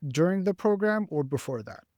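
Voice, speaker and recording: A young man whose first language is Arabic, speaking thoughtfully as a graduate student guest in a career interview, with frequent "uh" pauses. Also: high-pitched, lively intonation, darkish tone, no echo, studio quality.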